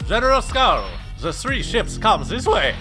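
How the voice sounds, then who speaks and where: Man acting dutiful, excitable sergeant. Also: high voice, measured pace, over music. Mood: alarmed. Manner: deferential, military. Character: dutiful, anxious German army sergeant underling.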